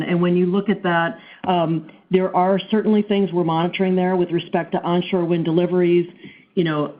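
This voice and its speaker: A woman answering analyst questions as a finance executive on a business call. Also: fairly low pitch. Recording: narrow, tinny phone line.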